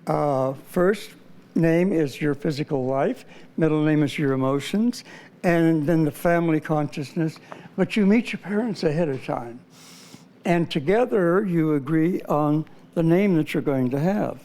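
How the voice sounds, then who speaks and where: A man talks as a guest, his voice slightly high with a warm, mellow tone. He explains his ideas patiently but hesitantly, with frequent fillers and restarts. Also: measured pace. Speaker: numerology guest. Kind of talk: explanatory interview talk.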